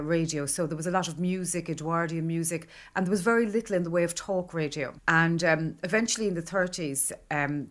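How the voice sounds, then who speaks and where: An Irish woman gives an explanatory interview as an expert. Her voice is very low for a woman, and she talks hesitantly, pausing often with ums. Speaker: broadcast historian, expert interviewee.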